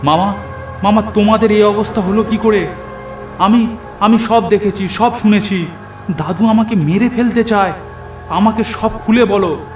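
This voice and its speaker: Man playing a terrified, high-strung young nephew. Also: a little slow, long pauses. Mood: frightened pleading. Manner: trembling, stammering, frantic. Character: terrified young nephew trapped among ghouls. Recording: echoey voice over music.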